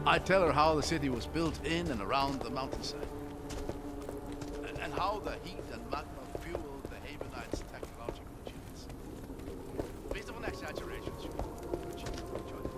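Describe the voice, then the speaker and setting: A man's voice: fairly high pitch, lively intonation, a little fast, close to an everyday voice. An actor playing a middle-aged, curious and articulate scholar father in a video game, musing in a learned way. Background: game soundtrack music.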